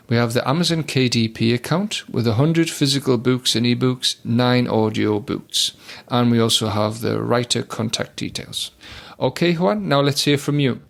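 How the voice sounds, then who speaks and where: Upbeat podcast host with gravelly voice. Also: male voice, mid-range voice. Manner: upbeat, reads listing details. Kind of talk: scripted podcast interview.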